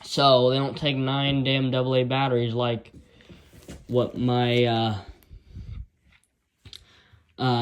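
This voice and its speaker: An American man talks through a gadget in a casual, unscripted way. His delivery is halting and rambling, with an informal tone and a mid-range pitch. He sounds like an amateur reviewer demonstrating something as he goes. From United States.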